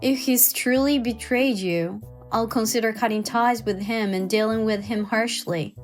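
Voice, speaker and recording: A woman's voice: a little high, unhurried, lightly acted. A woman playing a kindly, chatty older mother-in-law, polite and effusive, in a manga dub drama. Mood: indignant, supportive. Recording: music behind the voice.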